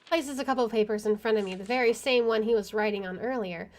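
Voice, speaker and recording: A woman's voice: fairly high pitch, fast. A woman streaming a video game, reading its story narration aloud and adding casual asides.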